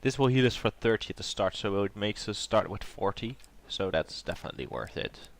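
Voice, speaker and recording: A man's voice: on the low side, very animated, a little fast, vocal fry, a little hiss or hum. Nasal man with a cold. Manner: thinking aloud, hesitant with ums. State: has a cold. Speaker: let's play host. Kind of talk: casual gameplay commentary.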